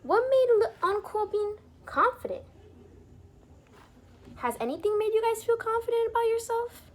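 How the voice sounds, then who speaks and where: A woman reading a picture book aloud to young children in an expressive, teacherly way, pausing to explain things and put questions to the listeners. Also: very high-pitched, slight background noise. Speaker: children's storybook reader and teacher. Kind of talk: animated read-aloud for young children with asides explaining words.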